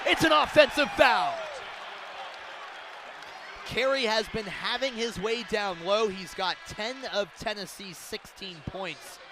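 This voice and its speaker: A man doing live radio basketball play-by-play in clipped, vivid calls. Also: high-pitched. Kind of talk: live sports commentary. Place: basketball arena during live games. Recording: clear arena noise, bouncing basketball.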